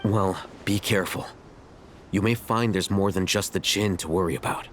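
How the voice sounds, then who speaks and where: A man playing a shrewd, wary advisor in a video game, speaking in a measured, analytical way. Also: sits low, fairly bright tone, slight background noise. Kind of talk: video game dialogue acting.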